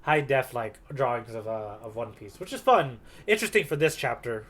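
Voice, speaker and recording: A man's voice: medium pitch, animated, moderate pace, crowd noise. A man co-hosting a podcast, chatting casually in a rambling, enthusiastic way. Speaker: podcast co-host. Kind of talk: casual chat.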